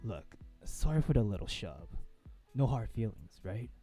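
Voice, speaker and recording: Man putting on a low, surly teenage bully. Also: sing-song, moderate pace, dark tone, faint music behind. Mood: guarded. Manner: curt, low-key, blunt. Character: surly, gruff male high school bully.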